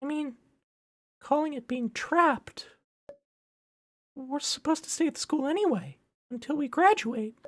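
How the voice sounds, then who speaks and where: A woman playing a timid, high-voiced schoolgirl. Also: a little slow, long pauses. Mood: hesitant. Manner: hesitant, nervous, polite. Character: timid, naive, polite schoolgirl.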